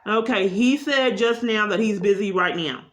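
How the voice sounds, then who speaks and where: A woman's voice: mid-range voice, moderate pace. A woman caller speaking unscripted in an insistent, long-winded complaint, often repeating herself.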